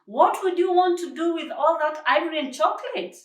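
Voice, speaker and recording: A woman's voice: very high voice, lively intonation, a little fast, pitched above the performer's own voice. A woman voicing a stern, motherly storybook character who scolds with commanding, emphatic authority. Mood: stern questioning. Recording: roomy echo, distant mic.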